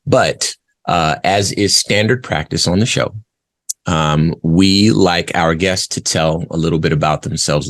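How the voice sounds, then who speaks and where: A man hosting an interview show, polite and wordy, with many short affirmations as he introduces his guest. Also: sits low. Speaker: show host.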